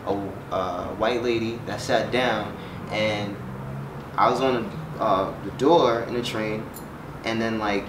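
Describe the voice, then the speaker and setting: A man in a casual group discussion, telling a meandering anecdote in halting phrases. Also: mid-range voice. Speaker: panelist, clothing business owner. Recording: echoey room, distant mic, noise.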